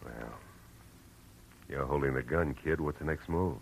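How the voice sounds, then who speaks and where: An actor playing a hard-boiled, world-weary but compassionate private detective in 1940s radio drama style. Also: male voice, rumbling low, unhurried, vocal fry, a little hiss or hum, full character voice. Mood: firm, matter-of-fact. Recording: old radio recording with music.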